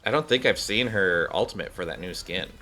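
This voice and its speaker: A man with a low voice chats casually through a game stream. He rambles and mutters, partly to himself and partly to viewers, with faint game music behind him. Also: animated, brisk, pushed and bright. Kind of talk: casual gameplay commentary.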